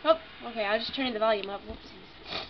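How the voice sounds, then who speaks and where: A woman's voice: a little high, fast. A young woman with a stuffy nose chatting casually and apologetically while playing a game. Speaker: gaming YouTuber. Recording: lo-fi home recording, noisy background.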